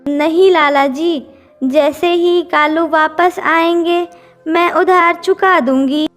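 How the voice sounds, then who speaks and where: Woman acting a cartoon mother bird, very high voice, slow delivery, humble, earnest, pleading. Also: steady tone, a bit bright, faint music behind, full character voice. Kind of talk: Hindi children's cartoon dubbing.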